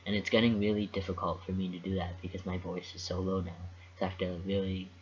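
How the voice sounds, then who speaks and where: Low-voiced young man, dull lo-fi recording. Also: level tone, breathy edge. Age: young adult. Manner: thoughtful, measured, with frequent pauses. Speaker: vlogger. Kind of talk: casual reflective vlog monologue.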